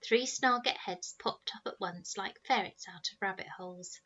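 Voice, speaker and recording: Bright-voiced woman reading a storybook, slightly lo-fi. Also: medium pitch, moderate pace. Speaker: teacher reading to pupils. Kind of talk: expressive storybook narration.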